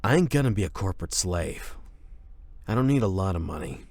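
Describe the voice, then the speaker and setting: A man playing a cocky, rebellious high school delinquent in an anime boyfriend roleplay, talking in a casual, sardonic, slangy way. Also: lively intonation, fairly quick, creaky, a little mellow, no echo, exaggerated voice. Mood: cynical, heated.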